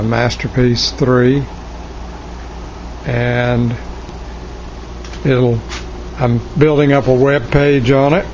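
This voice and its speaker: Guy slowly talking thru his restoration project, halting, thinking out loud, kinda muffled camera sound. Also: medium pitch. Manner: hesitant, halting, thinking aloud. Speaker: hobbyist restorer of vintage radio gear. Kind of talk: casual, unscripted show-and-tell. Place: cluttered indoor electronics workshop. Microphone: handheld camera mic, behind the lens. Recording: dull lo-fi camera audio, faint noise.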